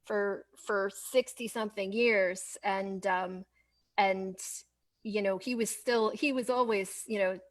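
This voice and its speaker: A woman hosting a casual interview, speaking informally in short, halting, agreeable phrases. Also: mid-range voice, steady tone. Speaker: interview host.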